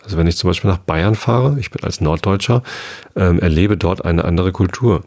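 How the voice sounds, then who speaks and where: A man from the Hamburg area, talking through his ideas in a reflective monologue, halting often with fillers and restarts. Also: sits low, a little mellow. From Northern Germany, Hamburg area. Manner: hesitant, many fillers and restarts.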